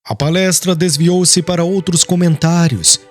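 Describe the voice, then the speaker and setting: A man reading an audiobook in measured, solemn scripted narration. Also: on the high side, steady tone, dry recording, faint music behind.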